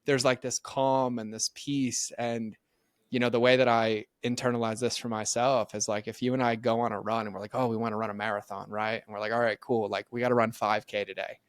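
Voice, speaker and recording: A man's voice: medium pitch, little pitch movement, a little fast, smooth, no echo. A middle-aged man talking earnestly and animatedly as a podcast guest, full of "like" fillers. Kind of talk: casual faith conversation.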